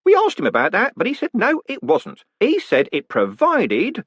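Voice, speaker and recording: A man's voice: sits high, huge pitch swings, normal speed, fairly bright tone, slightly rough, no room sound, voice pushed up, exaggerated voice. A man voicing a cheerful, deferential prison warden who rambles on and calls everyone sir. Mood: chatty.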